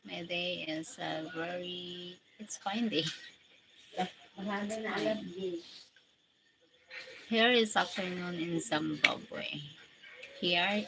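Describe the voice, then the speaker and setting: A woman's voice: lowish voice, gravelly. A Filipino woman in her forties, chatting casually on a live stream, greeting viewers and thanking them one by one. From Philippines. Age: 40s. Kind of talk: casual live chat, reading out viewer comments. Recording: loud background noise.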